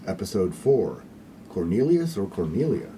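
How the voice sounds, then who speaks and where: A man narrates a radio play from a script. His voice is on the low side with expressive, varied intonation. Guitar music plays clearly behind him. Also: moderate pace, low-quality recording.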